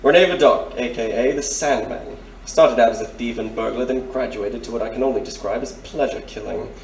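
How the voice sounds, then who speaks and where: A man's voice: medium pitch, fairly quick, gravelly, lightly acted. A man playing a courteous, shrewd detective major in an audio drama, speaking formally and with polite insinuation. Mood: measured, grave. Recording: roomy, distant mic, faint noise.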